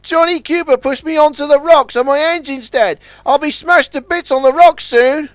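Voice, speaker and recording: A man doing a high, desperate customs launch. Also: moderate pace, no echo, studio quality. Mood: urgent. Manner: urgent, wry. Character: stranded customs launch, male, wry and desperate.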